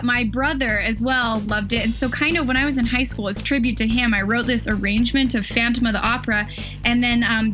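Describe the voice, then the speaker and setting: An American woman in her twenties, a violinist guest talking in a bubbly, quick way with lots of fillers. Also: on the high side, a bit bright. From United States. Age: 20s. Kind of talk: casual interview.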